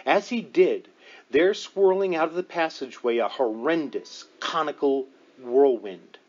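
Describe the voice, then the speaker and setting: A man's voice: fairly high pitch, lively intonation, unhurried. Man narrating, dull lo-fi recording. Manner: dramatic storytelling delivery. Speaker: audiobook reader. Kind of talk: scripted narration of a paranormal book.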